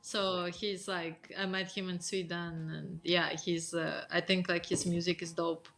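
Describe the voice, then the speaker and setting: Bright, slow-speaking woman. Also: fairly low pitch, level tone. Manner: slow, hesitant, halting phrasing. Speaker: DJ and podcast co-host. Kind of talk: casual chat.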